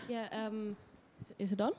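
A woman's voice: mid-range voice, little pitch movement, normal speed, a little mellow, big-room sound. A woman putting an informal question to a panel from an auditorium audience.

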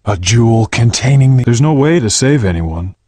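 An actor voicing a menacing hedgehog fighter, taunting deliberately in video game battle lines. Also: male voice, medium pitch, expressive, a little mellow, breathy edge, no echo. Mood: taunting, intense.

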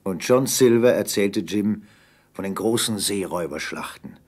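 Male narrator for adventure audio drama, low-pitched, husky, dramatic delivery. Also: moderate pace, a little mellow. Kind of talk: dramatic scripted story narration.

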